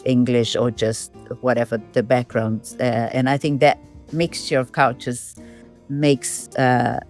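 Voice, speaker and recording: A woman's voice: a bass voice, little pitch movement, unhurried, darkish tone, soft background music. A middle-aged woman from Brazil, a designer and filmmaker, answering interview questions in a reflective, thinking-aloud way. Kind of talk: casual interview talk.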